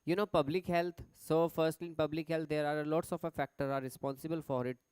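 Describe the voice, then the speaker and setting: A man's voice: fairly high pitch, level tone, a little fast, darkish tone, clear, resonant tone, no echo. A man with an Indian accent teaching a live online class, chatty as he reads points off slides and stops to answer students in the chat. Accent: Indian English.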